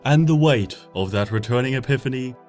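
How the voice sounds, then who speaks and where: A man reading a story aloud as expressive audiobook narration, moving between dramatic and hushed passages. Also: mid-range voice, a little fast. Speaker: fanfiction reader. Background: soft background music.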